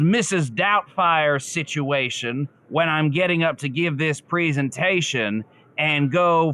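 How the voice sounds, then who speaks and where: A man's voice: on the high side, pushed and bright, clear, resonant tone, full character voice. A man playing an earnest, grandiose, guilt-ridden caller in an improvised comedy radio show, rambling with solemn melodrama.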